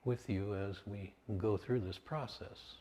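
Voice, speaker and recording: Slow, low-voiced man leading meditation, long reverb. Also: dark tone. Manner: unhurried, measured, pausing between short phrases. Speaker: guided meditation leader. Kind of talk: guided meditation, slow instruction in short phrases.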